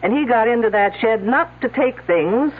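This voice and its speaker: A woman's voice: fairly high pitch, lively intonation, moderate pace, husky. An actress playing a confident, wisecracking female detective in a radio mystery, needling the suspects in a sardonic way. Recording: tinny old radio broadcast.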